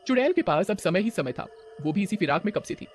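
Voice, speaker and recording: A man's voice: high voice, big pitch swings, fast talker, slight background noise. A man narrating a horror story as a dramatic storyteller.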